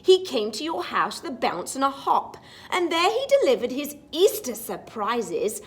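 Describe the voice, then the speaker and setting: A woman storytime teacher reading a picture book aloud to young children, expressive and sing-song, with chatty asides. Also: high-pitched, moderate pace.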